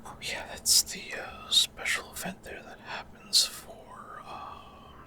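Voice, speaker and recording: A man's voice: slow, sharp tone. A man giving whispered ASMR gameplay commentary in a casual, rambling, slightly unsure way. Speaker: gaming ASMR video host.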